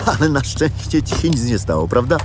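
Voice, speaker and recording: A man's voice: mid-range voice, big pitch swings, fairly quick, noisy background, close to an everyday voice. An actor playing a kindly grandfather who explains history to children in an audio drama. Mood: calm explaining. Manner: explanatory, storytelling. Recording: loud music behind.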